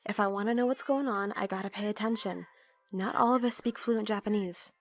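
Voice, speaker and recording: Female actor as young student, snarky, put-upon, sarcastic banter, mid-pitched, audio drama. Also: a little fast, lightly acted. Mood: defensive. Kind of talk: audio drama roleplay dialogue.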